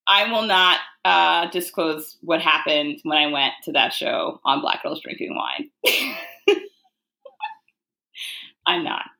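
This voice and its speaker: A young woman co-hosting a podcast, playful and expressive, often breaking into laughter. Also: low voice, slow pace, creaky, long pauses. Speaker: podcast co-host. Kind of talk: casual chat.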